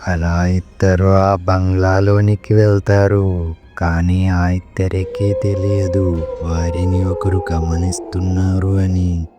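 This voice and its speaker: A man's voice: deep voice, little pitch movement, slow pace, warm tone. A man narrating a scripted horror story as its storyteller. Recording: music behind the voice.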